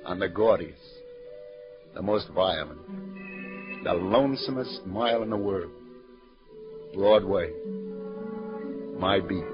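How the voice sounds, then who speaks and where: An actor playing a world-weary, sardonic police detective in 1940s radio noir style, with slangy hardboiled talk. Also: male voice, low-pitched, slow pace, long pauses. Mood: brooding, lonesome. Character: world-weary, wry New York police detective lieutenant, hardboiled narrator. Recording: muffled 1940s radio broadcast, music behind.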